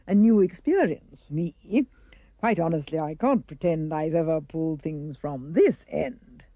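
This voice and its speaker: A woman's voice: low-pitched, unhurried, dark tone, dry recording, lo-fi sound, voice pushed down. A woman voicing a pompous, mercenary male dentist with theatrical relish, in a children's verse reading. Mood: sinister glee.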